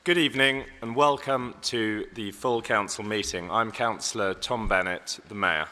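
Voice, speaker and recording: A man chairing a council meeting as mayor, slightly hoarse, formal and measured, with some ums and restarts. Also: lowish voice, fairly even intonation, sharp tone. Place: large council chamber. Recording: long echo of a large hall.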